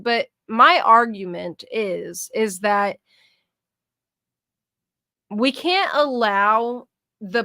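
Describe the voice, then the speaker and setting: A woman's voice: a little high, sharp tone, dry recording. A woman co-hosting a livestreamed podcast, chatting casually and earnestly arguing her point at length. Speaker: podcast co-host.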